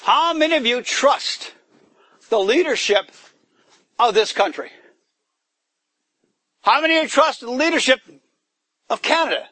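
A high-pitched man preaching a sermon, his voice climbing into forceful, emphatic bursts as he quizzes the congregation. The sound is close and dry, with almost no room around it. He pushes hard on key words. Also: animated, unhurried, pauses often, no room sound. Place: church gathering in the woods.

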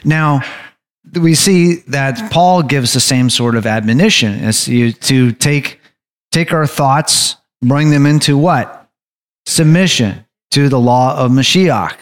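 A man leading a bible study group, teaching in a halting, staccato way with stops and restarts. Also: mid-range voice.

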